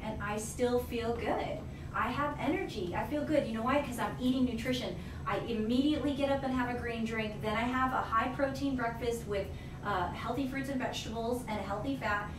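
A woman with a slightly high voice, talking in an emphatic, energetic teaching tone as a nutritionist guest. She is in her thirties and sounds distant from the mic. The small room echoes, with steady noise underneath. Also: moderate pace. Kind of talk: radio show interview. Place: small wellness office, indoors.

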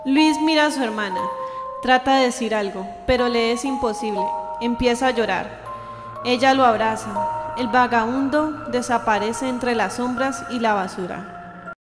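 High-pitched young woman narrating radio drama. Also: normal speed, over music. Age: young adult. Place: indoor green-screen studio. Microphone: handheld dynamic microphone. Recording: reverberant hall-like echo.

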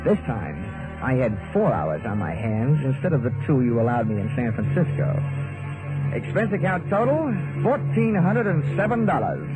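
A man's voice: mid-range voice, low-quality recording. An American man acting a wry, hard-boiled insurance investigator in an old-time radio detective drama. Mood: dry narration. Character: wisecracking, tough male insurance investigator. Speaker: lead actor and narrator. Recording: old radio broadcast with music.

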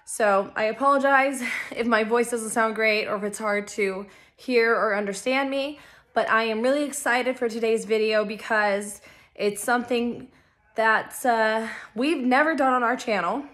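Woman, 30s, raspy cold-worn voice, slightly high, chatty rambling vlog host, close phone mic at home. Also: unhurried, a bit bright. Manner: chatty, rambling with many fillers. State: hoarse from a cold, low energy. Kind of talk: casual chat to camera. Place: living room, indoors.

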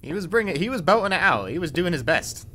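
A man's voice: sits high, very animated, quick pace, bright, forceful tone, a little hiss or hum. A young man giving casual game commentary as a speedrun streamer. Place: indoor room at home.

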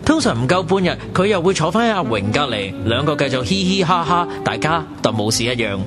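A man's voice: on the high side, very animated, a little fast. A man with a Hong Kong Cantonese accent playing a chatty young narrator who talks wryly about his friends in a radio drama. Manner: wry, colloquial storytelling. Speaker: narrator and character. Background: music.

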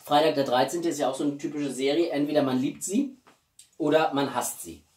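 A man's voice: a little high, fairly quick, darkish tone. Roomy, distant-miked man chatting. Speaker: YouTube host. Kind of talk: casual chat to camera. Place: small living room at home, indoors. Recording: roomy echo, distant microphone.

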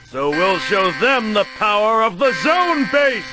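A man's voice: sits high, big pitch swings, a little slow, over music. A man voicing a decisive, heroic robot battle commander in an anime fan dub, urgent and commanding. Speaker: voice actor in an amateur fan dub.

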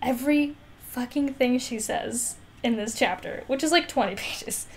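A woman's voice: on the high side, normal speed. A woman co-hosting a podcast, chatting casually about a book in a sarcastic, slangy, sweary manner. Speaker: podcast co-host. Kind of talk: casual book discussion chat.

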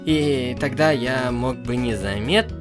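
A man's voice: medium pitch, slow pace, faint music behind, voice pushed down, close to an everyday voice. A man voicing a reluctant, grumbling boy from a visual novel, sullen and hesitant.

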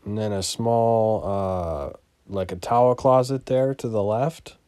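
A man giving a casual, unscripted property walkthrough as a landlord, in a matter-of-fact, halting way that trails off. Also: lowish voice, mellow, clean tone, dry recording. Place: inside an empty mobile home, starting on the porch.

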